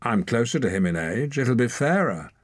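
A man's voice: mid-range voice, big pitch swings, dry recording, pitched above the performer's own voice. A man reading an audiobook, voicing an eager young clansman. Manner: quick, eager.

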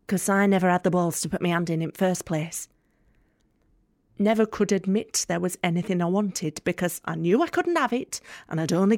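An actress playing a young student, guarded, sardonic and candid, in an intimate monologue for an audio play. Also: female voice, fairly low pitch, a little mellow, no room sound, clean studio sound. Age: young adult. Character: guarded, sharp-tongued young female student, hurt but hopeful.

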